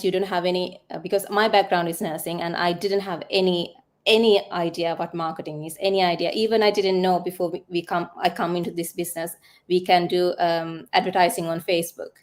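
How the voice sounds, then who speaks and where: A woman's voice: fairly low pitch, steady tone, normal speed. A woman in her thirties with a Sri Lankan accent, giving a casual testimonial as a guest on an online call. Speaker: guest, nurse in online business. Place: live online video call.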